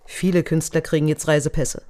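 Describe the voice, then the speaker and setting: A woman's voice: deep voice, level tone, fairly quick, dark tone, natural voice. An actress playing a reserved woman answering a detective in short phrases in a German radio crime drama.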